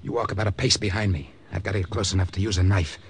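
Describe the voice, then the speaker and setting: Determined American saboteur, lowish voice, clipped orders, classic radio drama style. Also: male voice, fairly even intonation, very fast. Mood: tense, determined. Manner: clipped, decisive. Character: resolute young American secret agent on sabotage mission. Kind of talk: old-time radio drama acting. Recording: old radio drama recording.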